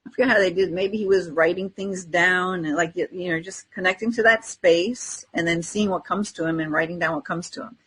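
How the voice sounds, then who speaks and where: A woman's voice: lowish voice, fairly even intonation, brisk, hardly any pauses, a bit bright, husky, low-quality recording. A woman in casual podcast conversation, telling personal anecdotes and acting out bits of dialogue.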